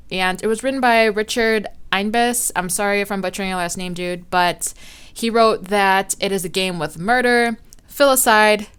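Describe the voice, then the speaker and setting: A woman's voice: mid-range voice, clear, resonant tone. A young woman doing a casual let's play, chatting in slang and reading visual novel text aloud with small stumbles. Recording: loud music behind the voice.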